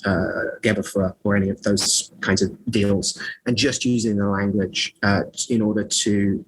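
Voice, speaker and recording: A man's voice: on the low side, fairly even intonation, a little slow, slightly rough, rough recording. A man being interviewed as an author and scholar, talking in a rambling way with lots of fillers, restarts and self-mocking asides. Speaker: author and scholar of magic, podcast guest. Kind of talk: conversational interview.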